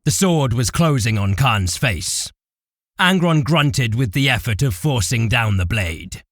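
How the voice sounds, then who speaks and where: Man, dramatic audio drama narrator, theatrical, animated pitch, dry studio sound. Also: unhurried, no room sound.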